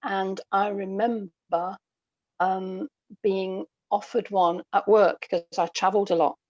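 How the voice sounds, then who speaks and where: A woman's voice: medium pitch, steady tone, takes it slowly, a little mellow, dry recording. A middle-aged woman with a British accent chats as a guest over an internet call. Her sound is dry and a little dull, and she talks reflectively in casual anecdotes, often checking in with tag questions. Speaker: podcast guest, writer.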